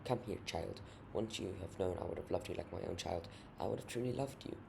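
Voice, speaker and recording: A storybook villain, acted out by a male reader, an unholy tyrant who mocks and coaxes with theatrical menace. The voice is very gravelly and booming, on a noisy amateur recording. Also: brisk. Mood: coaxing menace. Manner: theatrical, repetitive, coaxing menace.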